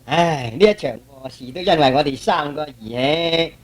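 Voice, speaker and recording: A man playing a brash, quick-witted male monkey hero in theatrical, emphatic Cantonese radio drama style. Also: fairly high pitch, close to an everyday voice. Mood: worried.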